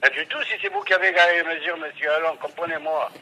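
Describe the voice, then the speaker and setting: A man speaking as a customer on a phone call, stubborn and indignant, often repeating his words haltingly. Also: a little high, fairly even intonation, crowd noise. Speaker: customer being prank-called. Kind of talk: phone conversation. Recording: noisy telephone line.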